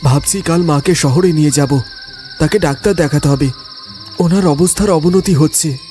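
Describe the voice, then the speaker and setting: A man voicing a high-pitched young village cart driver. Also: normal speed, warm tone, natural voice. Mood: earnest, worried. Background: music, insect sounds.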